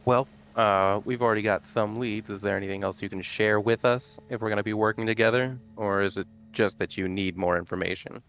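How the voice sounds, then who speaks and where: Low-voiced man playing a tabletop game. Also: fairly even intonation, brisk, few pauses, fairly bright tone. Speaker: player.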